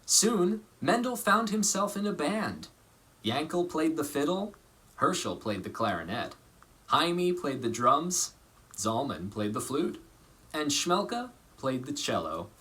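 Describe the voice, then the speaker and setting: Bright, highly expressive male storybook narrator. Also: takes it slowly. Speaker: actor reading a children's storybook aloud.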